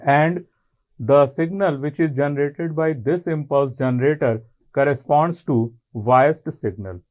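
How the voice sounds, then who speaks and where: A man with an Indian accent giving a technical college lecture in an explanatory way, in deliberate short phrases. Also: on the high side. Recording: muffled, phone-like narrow band.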